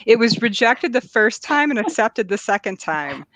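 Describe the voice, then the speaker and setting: A woman's voice: on the high side, sing-song, moderate pace, a bit bright, rough recording. A woman author chatting casually about writing, in a thoughtful, somewhat hesitant manner. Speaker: author guest.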